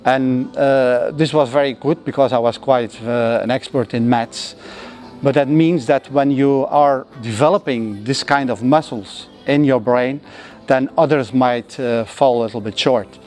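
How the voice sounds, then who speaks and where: A middle-aged man from Belgium who speaks with a Flemish accent and has Flemish as his first language, answering interview questions thoughtfully with frequent pauses and non-native phrasing. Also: mid-range voice, darkish tone. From Belgium (Flanders). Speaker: interviewee, entrepreneur.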